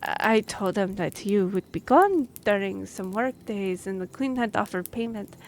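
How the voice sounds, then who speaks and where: Woman acting a slow-spoken, formal half-elf envoy. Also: mid-range voice, animated, slight background noise. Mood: measured, explanatory. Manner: formal, hesitant, measured. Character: young half-elf noblewoman, earnest royal envoy, slightly awkward. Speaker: voice actor in a roleplay audio drama. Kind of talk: fantasy roleplay audio acting, addressing the listener.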